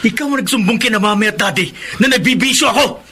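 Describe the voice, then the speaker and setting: A furious, resentful young son. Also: male voice, high-pitched, fast, noisy background. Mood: hateful. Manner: shouting, tearful outbursts. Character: angry young man, resentful rebellious son. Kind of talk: radio drama acting. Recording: music playing underneath.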